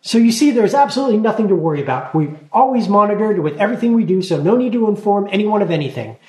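Casting: male reader putting on a bossy, chattering gnome girl, high and bright, storybook audiobook. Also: lively intonation, moderate pace, roomy sound. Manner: blunt, morbid, hasty chatter. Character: bossy 263-year-old female gnome girl.

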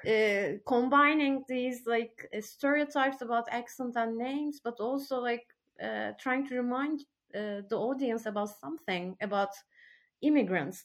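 A woman speaks as a podcast interviewer in a slightly high voice. Her delivery is conversational and hesitant, building questions in short, halting phrases.

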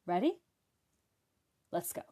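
A woman's voice: takes it slowly, warm tone. A woman hosting a podcast, speaking from loose notes. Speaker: podcast host. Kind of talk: semi-scripted podcast presenting.

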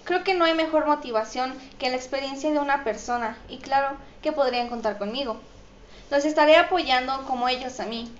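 A woman with a high voice and a Mexican accent answers interview questions. The sound is echoey and somewhat dull, as if taped in a bare room. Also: brisk, slight background noise. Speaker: interview guest, model.

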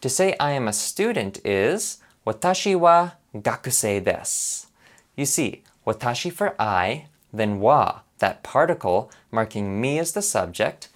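Man, from Canada, lively swooping pitch, upbeat scripted lesson narration. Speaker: language learner presenting a lesson.

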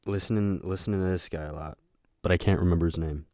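A young man hosting a solo podcast, talking in a flat, monotone voice that rambles, with lots of hesitation and filler words. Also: deep voice, quick pace, no room sound, studio quality. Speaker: podcast host. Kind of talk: casual solo podcast chat.

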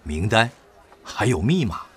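An actor playing a weary, stoic wartime official who has survived torture, in a Mandarin audio drama. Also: male voice, on the low side, big pitch swings, a little slow, fairly bright tone, husky, full character voice. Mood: recounting, puzzled.

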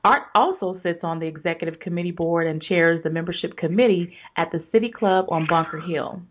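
A woman hosting a business radio interview, with an energetic, promotional delivery. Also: lowish voice, fairly quick, narrow, tinny audio. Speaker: podcast host.

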